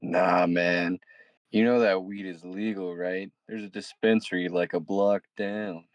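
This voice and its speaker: A man's voice: low-pitched, fairly even intonation, unhurried, dry recording. A man playing a laid-back stoner in his early twenties in a comedy table read, drawling vaguely, with slightly muffled sound. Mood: baffled.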